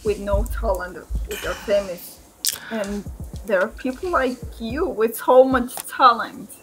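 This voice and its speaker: A woman's voice: a little high, measured pace, fairly bright tone, poor audio. A young woman on a webcam video call, gushing and effusive with praise, talking from a room at home. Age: young adult. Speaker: video chat stranger. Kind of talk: casual video chat.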